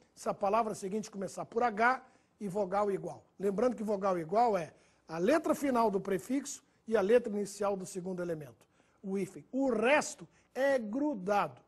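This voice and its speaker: A man's voice: sits high, husky. A man teaching a recorded grammar lesson, listing example words one by one and checking in with his students. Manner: didactic, lists words and checks in with "perfeito?" and "né?".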